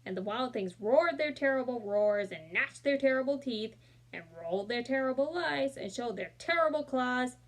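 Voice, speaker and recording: A woman reading a children's picture book aloud, gently talking to young listeners between pages and voicing the characters with expression. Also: high-pitched, expressive, normal speed, low-quality recording. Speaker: storybook reader. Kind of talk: children's read-aloud with asides.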